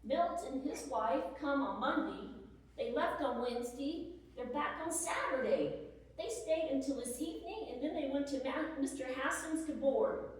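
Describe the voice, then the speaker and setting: A woman's voice: high-pitched, moderate pace, lightly acted. A woman playing a weary, lonely Civil War wife, plaintively reading her letters to her husband in a dramatic reading. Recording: echoey hall, distant microphone.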